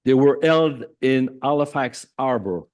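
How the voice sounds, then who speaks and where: An older man with an Acadian French accent, whose first language is French, giving a public lecture in a slow, halting way with many pauses. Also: medium pitch, lively intonation, darkish tone. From Nova Scotia, Canada. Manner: slow, halting delivery with frequent "uh". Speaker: guest speaker.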